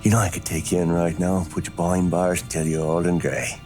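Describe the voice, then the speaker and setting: An actor playing a hard-nosed, skeptical detective in a game, dry and probing. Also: male voice, low voice, little pitch movement, a little fast, darkish tone, soft background music. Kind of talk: cinematic game dialogue.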